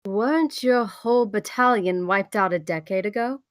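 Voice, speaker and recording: A woman's voice: medium pitch, normal speed, no room sound, studio quality, lightly acted. A woman reading the part of a sly, teasing female thief and smuggler in a screenplay table read. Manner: sardonic, teasing.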